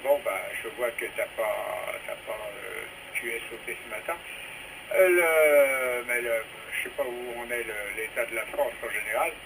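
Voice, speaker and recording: Male radio amateur, halting, repetitive everyday talk through a noisy two-way radio transmission. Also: medium pitch. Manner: halting, stammers over repeated words. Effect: two-way VHF radio transmission. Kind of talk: casual radio chat. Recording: noisy VHF ham radio reception.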